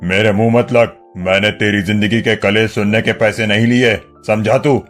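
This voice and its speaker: An actor playing a haughty, suspicious village landlord with a gruff, imperious manner in a dramatized moral story. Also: male voice, on the low side, fairly even intonation, normal speed, soft background music, close to an everyday voice. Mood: angry, scornful.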